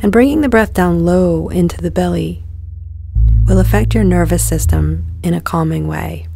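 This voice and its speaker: Calm woman leading a guided meditation, really creaky mellow voice, soft guitar music behind her. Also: lowish voice. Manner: calm, soothing, measured delivery with soft phrase endings.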